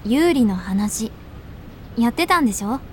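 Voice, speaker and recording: A woman's voice: fairly high pitch, lively intonation, a little slow, a little hiss or hum. An actress playing an earnest, persistent, direct teenage schoolgirl learning ballet, in radio drama dialogue and narration. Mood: probing.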